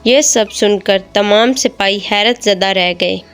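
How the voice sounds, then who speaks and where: A woman's voice: mid-range voice, a little slow, a bit bright. Woman narrating a story over music. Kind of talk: scripted moral story narration. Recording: music behind the voice.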